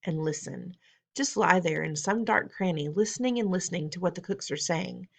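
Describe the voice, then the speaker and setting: Woman doing a brisk elderly grandmother. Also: lowish voice, gravelly. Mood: plotting. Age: older.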